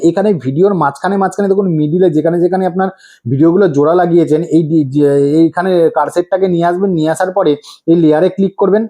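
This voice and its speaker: A man's voice: high-pitched, mellow. A man hosting a step-by-step tutorial, instructive and repetitive, often prompting with rhetorical questions. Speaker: tutorial host.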